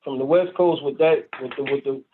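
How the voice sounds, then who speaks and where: A man's voice: medium pitch, fairly even intonation, brisk. A man in his forties from New York, talking in a casual, emphatic and slangy way as a panel guest on a call-in show, often ending his points with "bro". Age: 40s. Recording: tinny phone line with faint hiss.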